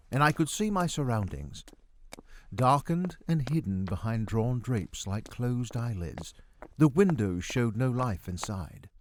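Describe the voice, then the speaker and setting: A man's voice: mid-range voice, darkish tone, a little hiss or hum. A man narrating a dramatic first-person story as a miserly, befuddled middle-aged doctor, in a theatrical, literary delivery. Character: miserly, befuddled 45-year-old male doctor recounting his own tale.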